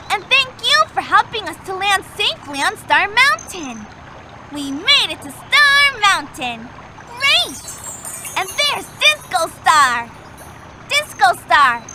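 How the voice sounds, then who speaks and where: A woman's voice: very high-pitched, heavy background noise, heavily acted. A woman voicing a cheerful young girl explorer in a children's video game, talking to the player and encouraging them.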